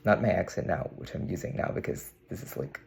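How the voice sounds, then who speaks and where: Low, gravelly young man, musing. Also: a little fast, a little mellow. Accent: American, put on. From India. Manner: musing, meandering, with frequent "you know". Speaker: vlogger. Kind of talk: casual reflective monologue to camera. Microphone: camera mic, talking to camera.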